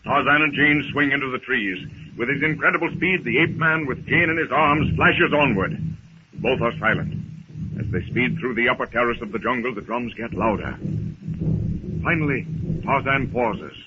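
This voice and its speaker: A man's voice: mid-range voice, lively intonation, moderate pace. A man giving dramatic scripted narration for a 1930s radio serial, urgent, suspenseful and declamatory. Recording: muffled, noisy 1930s radio recording.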